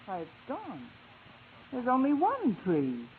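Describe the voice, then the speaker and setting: A woman's voice: fairly high pitch, wildly swooping pitch, takes it slowly, heavily acted. Through a tinny, noisy old radio broadcast comes a wry American woman schoolteacher. She swings expressively through her lines in vintage sitcom style, dreamy and romantic. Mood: bewildered. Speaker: lead actress in a radio comedy.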